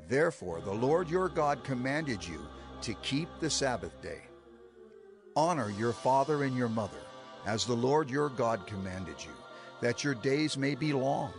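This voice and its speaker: An actor playing a male prophet and leader in a dramatized scripture reading, solemnly exhorting his people in an emphatic, oratorical manner. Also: mid-range voice.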